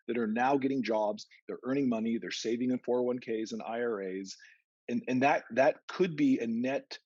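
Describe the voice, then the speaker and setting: A man's voice: medium pitch, darkish tone, husky, no room sound. A man giving methodical, conversational market commentary as an investment analyst on a conference call. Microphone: conference call line. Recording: dull, narrow conference call audio.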